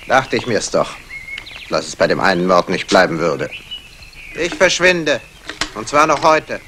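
An actor playing a cool, businesslike gem smuggler in a 1960s German crime radio play, curt and matter-of-fact. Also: male voice, on the low side, lively intonation, measured pace, background noise. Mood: wary.

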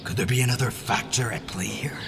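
A man's voice: medium pitch, brisk, creaky, music in the background, natural voice. An actor playing an anxious, skeptical man in a fantasy audio drama, questioning and agitated in manner. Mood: uneasy, resolved. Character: anxious, skeptical male companion monitoring dream connections.

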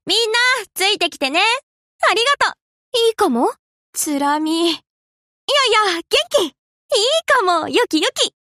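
Anime game navy girl: high and slow-paced, casual slangy delivery, laid-back but dutiful. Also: lively intonation, pushed and bright, dry recording, clean studio sound, full character voice. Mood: breezy. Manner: casual slangy girlish speech. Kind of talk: Japanese anime game character voice lines.

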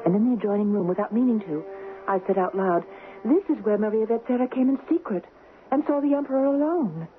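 A woman's voice: on the high side, a little fast, lightly acted. Actress as earnest young woman, tinny radio drama. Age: young adult. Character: earnest romantic young woman, ardent and steadfast in love. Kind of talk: 1970s radio drama acting. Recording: muffled phone-like 1970s radio, music behind.

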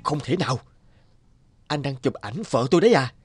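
Male actor as philandering office boss, middle-aged, smug and distrustful, animated series. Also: medium pitch, moderate pace, faint music behind, natural voice. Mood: incredulous. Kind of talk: cartoon dialogue.

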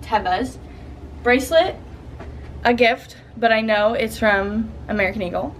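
A woman's voice: a little high, a little slow, a little hiss or hum. Chatty young woman vlogger. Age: young adult. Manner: chatty, upbeat, lots of "like" and "um". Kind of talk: casual chat to camera. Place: hotel room, then parked car.

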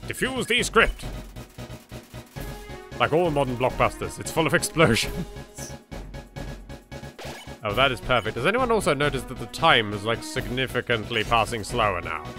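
A man's voice: mid-range voice, big pitch swings, moderate pace, pauses often. Sarcastic English man gaming. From England. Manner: dry, sarcastic quips. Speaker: let's play voice actor. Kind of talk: sarcastic gameplay commentary. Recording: game music behind.

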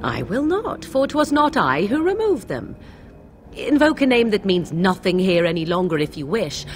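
An actress playing a haughty, sly young witch in a fantasy game, with archaic, mocking, measured phrasing. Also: medium pitch, very animated, slight background noise, lightly acted. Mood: aloof. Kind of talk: theatrical fantasy game acting. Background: game music.

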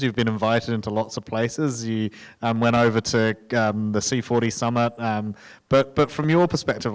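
A man moderating a panel on an event stage, asking long questions with halting restarts into a handheld microphone. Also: mid-range voice, clear, resonant tone. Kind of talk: panel discussion. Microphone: handheld mic.

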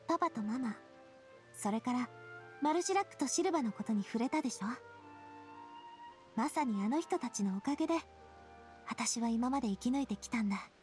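High-voiced, dejected young lady boss. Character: dejected young woman boss, sincere and vulnerable. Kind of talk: Japanese anime game voice acting.

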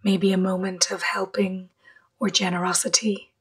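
A woman leading a guided meditation in a soft, breathy voice, gently, with long pauses between short phrases. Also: mid-range voice, little pitch movement. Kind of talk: guided meditation, slow and measured.

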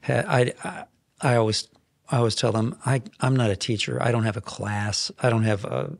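Gravelly-voiced man telling studio stories. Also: mid-range voice, normal speed, no room sound. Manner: rambling, animated, restarts often. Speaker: songwriter guest. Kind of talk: casual interview storytelling. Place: podcast studio. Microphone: headphones and boom mic.

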